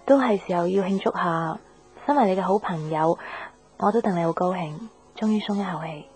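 Gentle, mellow young woman friend, played by an actress. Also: on the low side, brisk, faint music behind, close to an everyday voice. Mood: gentle, reassuring. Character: caring young woman, a close platonic friend. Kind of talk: radio drama dialogue.

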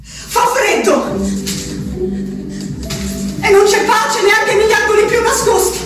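An actress playing a woman locked in an asylum, writing an angry, pleading letter to her husband, speaking quietly at times and shouting at others. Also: extremely high pitch, expressive, slow, far from the mic, heavily acted. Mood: desperate. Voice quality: shifts from quiet reading to shouting. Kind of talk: radio drama acting. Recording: roomy radio broadcast with music, voice a bit off mic.

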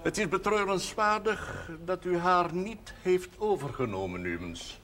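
Courtly, wistful old village priest. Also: male voice, sits high, animated, bright, forceful tone, full character voice. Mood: wistful, concerned. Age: elderly. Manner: measured, hesitant, formal, literary phrasing. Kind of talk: television period drama dialogue. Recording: faint background noise, 1970s television audio.

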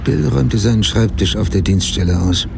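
An actor playing a writer who soberly narrates his past in a radio drama, with a husky, dark, gravelly voice. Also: on the low side, fairly quick, over music. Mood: sober, reflective. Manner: sober, matter-of-fact. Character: grown male writer soberly recounting his murdered mother's case. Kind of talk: scripted first-person narration, radio drama.

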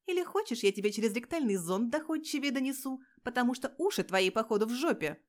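A woman's voice: on the high side, animated, fast, voice pushed up, lightly acted. Woman playing a menacing, mock-sweet female cop. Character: small, menacing, foul-mouthed female police officer. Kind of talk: audio story character dialogue.

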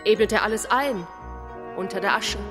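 A woman acting as a solemn radio play narrator, reading poetic text in measured, deliberate phrases. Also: mid-range voice, music in the background, lightly acted. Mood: solemn, intense. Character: solemn female narrator of an inner ordeal.

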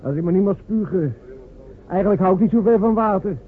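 A blunt, teasing soldier played by a man who is plainly uneasy about the sea, with a mid-pitched voice squeezed into a narrow, phone-like radio band. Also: a little fast, slight background noise, close to an everyday voice. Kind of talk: radio play acting.

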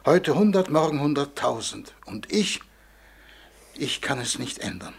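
An actor playing a helpless, forgotten old God, plaintive and lamenting, in a 1940s German radio play. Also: male voice, medium pitch, very animated, unhurried, gravelly, husky, heavily acted. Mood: despairing. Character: weeping, helpless old God nobody believes in.

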